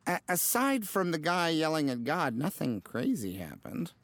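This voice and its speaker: A man acting as a hapless but earnest low-level ad man in an audio drama, mild and sheepish as he plays down his mishaps. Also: huge pitch swings, moderate pace, fairly bright tone, no room sound, heavily acted. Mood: uneasy, defensive. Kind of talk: naturalistic audio drama dialogue.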